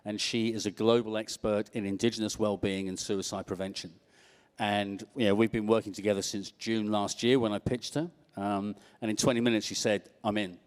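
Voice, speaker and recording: A man's voice: fairly low pitch, big-room sound. A middle-aged man with an English accent speaking earnestly on a panel. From England. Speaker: panelist, project founder. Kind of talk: panel discussion.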